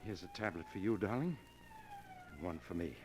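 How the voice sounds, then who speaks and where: An actor playing a smooth, fatalistic criminal lover, theatrical and persuasive, in 1940s radio drama style on an old recording. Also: male voice, low voice, animated, fairly quick. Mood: coolly persuasive. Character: smooth, fatalistic criminal lover urging his partner to suicide. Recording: noisy old golden age radio recording.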